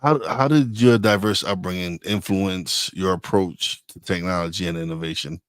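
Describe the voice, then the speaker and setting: Deep-voiced host with a dry sound. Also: male voice, moderate pace, clear, resonant tone, no room sound. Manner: hesitant, repeats words while asking. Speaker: podcast host. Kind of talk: interviewing a guest.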